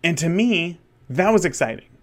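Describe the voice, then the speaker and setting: A man's voice: on the high side, expressive, normal speed. A man of thirty, a wedding photographer, talking candidly in a rambling solo monologue with many restarts. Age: 30s. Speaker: wedding photographer and podcast host.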